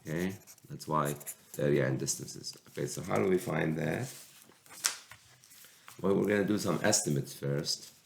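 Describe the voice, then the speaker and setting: Very deep, gravelly man with a cold. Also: slight background noise. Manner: slow, halting explanation with restarts. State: sick with a cold. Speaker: math teacher. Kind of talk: recorded calculus lecture.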